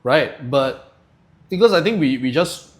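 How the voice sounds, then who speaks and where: Relaxed Singaporean man co-hosting a podcast. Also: a little high, measured pace. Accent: Singaporean English. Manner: relaxed, thinks aloud with frequent fillers and "lah". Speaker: podcast co-host. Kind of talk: casual discussion.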